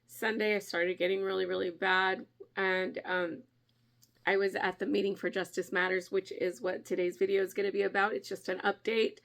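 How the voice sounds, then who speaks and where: Brief: chatty woman vlogger, nasal with a cold, gravelly edge, mid pitch. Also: level tone, moderate pace, a bit bright. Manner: chatty, friendly, often laughing. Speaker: crafting channel host. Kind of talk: casual vlog chat.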